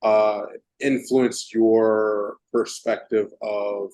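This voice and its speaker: Halting man searching for words. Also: fairly low pitch, level tone, darkish tone. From Texas. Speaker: interviewer. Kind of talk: casual interview.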